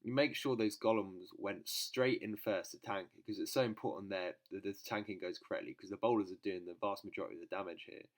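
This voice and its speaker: Deep-voiced British man, congested and nasal. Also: steady tone, fast, hardly any pauses. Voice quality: nasal from a blocked nose. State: congested with a cold. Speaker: gaming channel host. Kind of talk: casual gameplay commentary.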